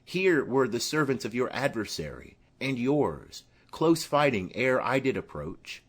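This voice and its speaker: A man's voice: medium pitch, sing-song, measured pace. A man playing an earnest young nobleman and peacemaker, speaking formal Shakespearean lines. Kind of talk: Shakespearean audiobook acting.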